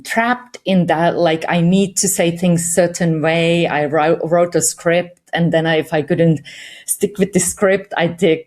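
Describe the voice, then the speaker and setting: Finnish-accented woman, meditation guide, low-ish voice, thoughtful and open, laughs lightly. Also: steady tone, measured pace, darkish tone. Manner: reflective, candid, frequent light laughs. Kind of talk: conversational interview.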